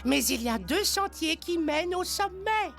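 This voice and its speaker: A woman's voice: very high voice, expressive, normal speed, a bit bright, faint music behind, heavily acted. A woman voicing an earnest, determined young male rabbit hero in a children's cartoon, eager and boyish. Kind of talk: animated children's film dub.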